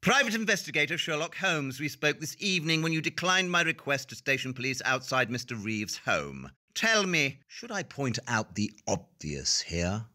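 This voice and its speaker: A man's voice: mid-range voice, big pitch swings, moderate pace, hardly any pauses, pushed and bright. A man playing an arrogant, brilliant detective in an audio drama, speaking precisely and haughtily. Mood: haughty, lecturing.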